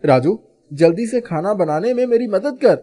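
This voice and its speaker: A man's voice: high-pitched, animated, normal speed, mellow. A man voicing a kind-hearted restaurant owner in theatrical, earnest storybook dialogue. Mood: excited, amazed. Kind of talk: animated storybook dialogue in Hindi.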